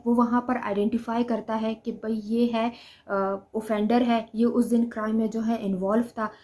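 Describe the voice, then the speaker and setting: A woman's voice: fairly high pitch, dark tone. A woman with a Pakistani accent lecturing on study notes, reading them out and explaining in a didactic way with repetition and rhetorical questions. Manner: didactic, repeats points and asks rhetorical questions. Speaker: law lecturer.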